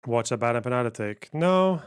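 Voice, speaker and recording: A man's voice: mid-range voice, lively intonation, fairly quick, clear, resonant tone. A man giving blunt, wry, sweary commentary on news during a live stream.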